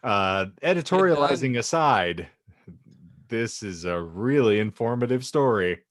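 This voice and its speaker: A man's voice: medium pitch, lively intonation, measured pace, dry recording, studio quality. A man hosting a comedy podcast, narrating and bantering in a wry, mock-formal way. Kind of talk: comic audiobook narration with banter.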